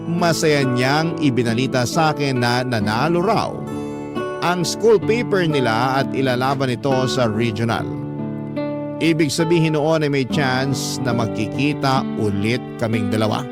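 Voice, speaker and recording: A man's voice: medium pitch, unhurried, natural voice. Radio drama narrator: male actor as a wistful man recounting lost love, mid-range voice, prominent acoustic guitar underneath. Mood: fond, glad. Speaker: narrator telling his own love story. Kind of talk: dramatized radio letter narration in first person. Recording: loud guitar music under the voice. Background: plucked guitar music.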